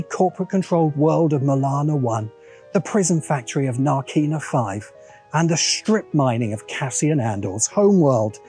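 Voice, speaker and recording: A man hosting a video essay, reading scripted narration in a measured, emphatic way with dramatic pauses. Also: on the high side, a little mellow.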